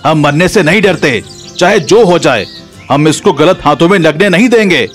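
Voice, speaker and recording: A man's voice: fairly high pitch, expressive, moderate pace, faint music behind, natural voice. A man voicing a proud, defiant tribesman guarding something sacred, speaking in a stern, declamatory way.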